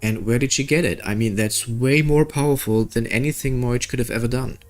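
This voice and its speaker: A man's voice: mid-range voice, brisk, darkish tone, faint music behind. A man hosting a game playthrough, thinking aloud and questioning as he reacts. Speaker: gaming video host. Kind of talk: game playthrough commentary, reading dialogue and reacting.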